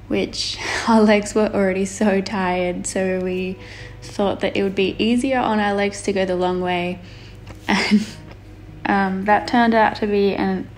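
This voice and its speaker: A young woman from Australia, casually narrating her painting video and telling a story, chatty and relaxed, laughing often. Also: mid-range voice. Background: faint background music.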